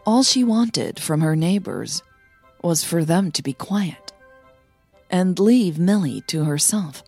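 A woman reads scripted fiction in a somewhat low, breathy, husky voice. She takes her time, phrasing each line deliberately with pauses. Music plays behind her narration. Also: animated, darkish tone. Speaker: podcast host and fiction narrator. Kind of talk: scripted audio story narration.

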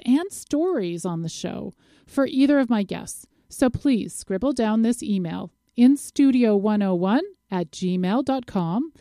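A woman's voice: on the high side, dark tone, dry recording, studio quality. A woman hosting a radio show, introducing topics and interviewing a guest.

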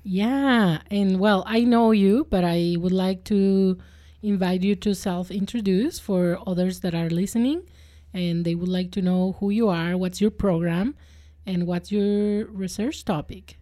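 A woman's voice: mid-range voice, steady tone, unhurried, warm tone, no room sound, clean studio sound. A young adult woman hosting and producing a podcast, reading a careful introduction and then friendly questions to her guest.

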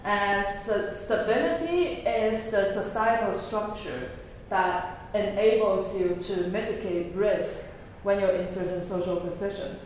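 A woman's voice: medium pitch, unhurried, darkish tone. Woman facilitator in a very echoey room. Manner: friendly, instructive, fillers. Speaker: workshop game facilitator. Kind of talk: explaining game rules to participants. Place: large echoey museum room. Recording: very echoey room with background noise.

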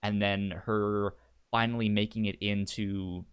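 A man's voice: on the low side, little pitch movement, unhurried, smooth. A man co-hosting a casual book podcast, often stammering and repeating words as he thinks aloud. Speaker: podcast co-host. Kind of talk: casual book-club chat.

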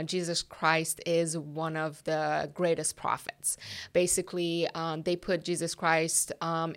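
A woman's voice: on the low side, fairly bright tone, smooth. A young woman from Iran with a slight Persian accent, earnestly telling her story as a podcast guest, with frequent ums. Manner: earnest, hesitant with many ums. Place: office.

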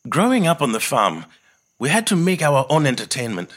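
Expressive, reflective middle-aged Zimbabwean man. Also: a little high, brisk, full character voice. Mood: reflective, earnest. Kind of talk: scripted autobiographical monologue, storytelling.

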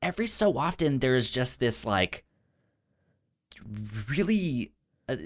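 A man's voice: medium pitch, sing-song, takes it slowly. A man co-hosting a film podcast, talking casually and enthusiastically, with a stutter that repeats sounds and syllables. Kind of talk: casual movie discussion.

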